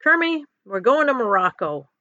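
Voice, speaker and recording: A woman's voice: fairly high pitch, sing-song, normal speed, bright, forceful tone, dry recording, highs cut off, voice pushed up. Woman reading as a firm, decisive working man. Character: decisive, patient, strong male construction worker.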